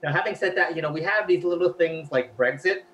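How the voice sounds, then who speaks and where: Male guest, native Cantonese speaker, chatty explainer with a bright, forceful tone. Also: fairly high pitch, a little fast, slight background noise. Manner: chatty, explains at length, filler-heavy. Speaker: guest, language researcher. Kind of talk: casual interview talk.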